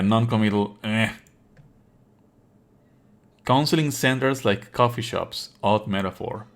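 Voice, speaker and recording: A man giving live let's play commentary as a game streamer, expressive, sardonic and exasperated, swearing casually. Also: medium pitch.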